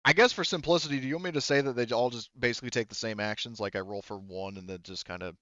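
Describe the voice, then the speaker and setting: A man's voice: mid-range voice, quick pace, sharp tone, no echo, dull audio. A man running a tabletop game as game master, talking casually and hesitantly as he thinks through and explains the rules.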